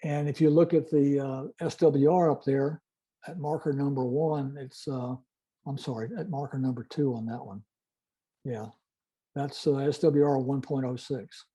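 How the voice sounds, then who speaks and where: Dark-voiced older man explaining haltingly. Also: medium pitch, fairly even intonation, breathy edge. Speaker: club presenter, retired-style veteran telephony engineer. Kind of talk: informal technical presentation with demonstration.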